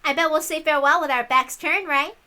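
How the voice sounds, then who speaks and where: A woman's voice: sits high, fairly quick, bright, forceful tone, pitched above the performer's own voice. A woman voicing a playful, cruel young witch girl in a visual novel read-aloud, with a theatrical, giggly, sing-song manner.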